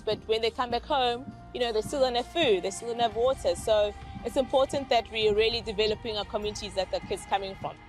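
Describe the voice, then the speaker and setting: A woman with a fairly high voice speaks with emphasis and some reflection. Soft music plays underneath her. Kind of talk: documentary interview.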